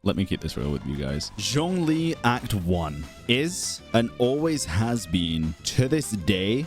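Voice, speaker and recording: Animated young man giving casual gaming commentary. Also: on the low side, slow, faint music behind. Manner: slangy, blunt, emphatic. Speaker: gaming content creator and streamer.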